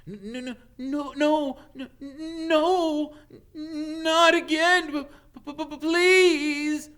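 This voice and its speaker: Terrified man, very high-pitched, stammering, whimpering, pleading, storybook character. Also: fairly even intonation, very slow, a bit bright, heavily acted. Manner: stuttering, whimpering.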